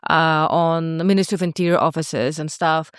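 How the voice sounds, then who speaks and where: Woman podcast host, chatty, somewhat low voice, dry close recording. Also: level tone, normal speed, smooth, no room sound. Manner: chatty, many "like"s and "yeah"s, acts out quotes. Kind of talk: casual interview chat.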